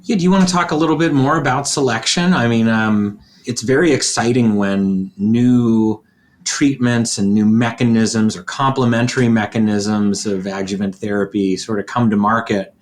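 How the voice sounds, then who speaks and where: A man's voice: on the low side, steady tone, moderate pace. A man hosting a professional podcast interview, asking questions in a courteous, conversational way.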